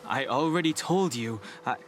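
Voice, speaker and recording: A man plays a guarded young exile in a game cutscene, with a mid-range male voice. He is serious and restrained, quietly resolute in his formal wording. Music is clearly heard behind him. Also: medium pitch, normal speed, natural voice. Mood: weary, firm. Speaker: voice actor in a game. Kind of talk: dramatic game cutscene dialogue. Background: clearly audible background music.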